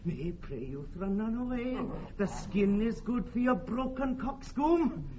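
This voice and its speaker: Excitable high-pitched Welsh captain. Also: male voice, unhurried. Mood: gloating. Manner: emphatic, wordy, repetitive. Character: fiery, pedantic Welsh army captain, comically insistent. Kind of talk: classic Shakespearean radio acting. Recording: muffled, faintly noisy old radio recording.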